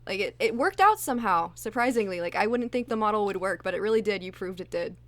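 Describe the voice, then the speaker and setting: Female voice actress, mid-range pitch, enthusiastic, appreciative, casual interview delivery. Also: lively intonation, quick pace.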